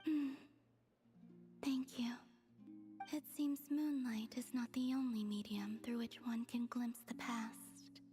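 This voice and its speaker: A woman voicing a gentle, wistful young moon goddess in an anime game, soft and breathy, with hesitant, formal phrasing. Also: a little high, steady tone, slow, music in the background. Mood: serene, reflective.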